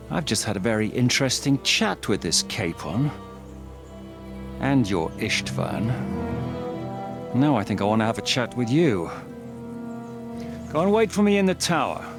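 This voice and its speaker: An actor playing a shrewd, domineering medieval commander in a video game, wry and probing. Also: male voice, mid-range voice, huge pitch swings, a little slow, pauses often. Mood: wry, menacing. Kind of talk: video game cutscene acting. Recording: music playing behind the dialogue.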